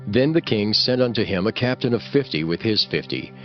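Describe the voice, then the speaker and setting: A man reading scripted scripture narration for a dramatized audiobook in a solemn, measured way. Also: lowish voice, expressive. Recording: slightly dull audio with music behind. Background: music.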